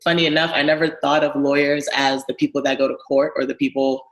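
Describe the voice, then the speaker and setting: A young woman from Ghana, a guest business owner telling her story in a relaxed, friendly way, laughing easily. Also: a bass voice, fast. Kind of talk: casual interview conversation. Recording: echoey room sound.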